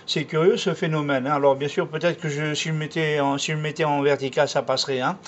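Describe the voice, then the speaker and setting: A man's voice: fairly high pitch, steady tone, fast, slight background noise. A man chatting casually as an amateur radio operator over a radio link, colloquial and repetitive, peppering his talk with tag words. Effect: radio transmission. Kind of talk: casual radio contact chat. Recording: dull lo-fi CB radio sound.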